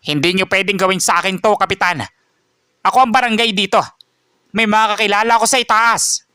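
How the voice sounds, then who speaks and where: A man's voice: high voice, brisk, sharp tone, clean tone, no room sound, clean studio sound, voice pushed up. A man voicing an arrogant, corrupt middle-aged village chief who talks in a blustering, dismissive way.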